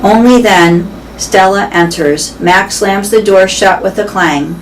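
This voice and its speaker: A woman's voice: medium pitch, slow, recorded from a distance, poor audio. A woman reading a children's story aloud in a storytelling style. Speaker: reader of a children's novel. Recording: clear background noise.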